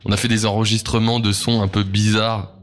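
Young man, loose and relaxed. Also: on the low side, fairly quick. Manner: relaxed, loose, lots of fillers. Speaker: band member. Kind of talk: casual interview answers.

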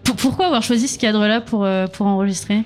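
A woman's voice: medium pitch, quick pace, darkish tone, soft background music. A woman interviewing a band on the radio, chatty and hesitant, full of fillers.

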